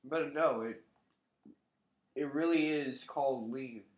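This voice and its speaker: A man's voice: mid-range voice, takes it slowly, echoey room. Nasal young man, casual profane vlog. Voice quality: nasal from a cold. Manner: loose, profane, offhand. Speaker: vlogger. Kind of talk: casual commentary to camera. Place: living room by a window.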